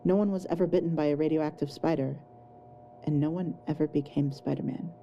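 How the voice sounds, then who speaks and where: A woman with a low, very dark and mellow voice reads scripted story narration. There is faint noise in the home recording. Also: fairly even intonation, brisk, highs cut off. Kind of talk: scripted fan fiction narration.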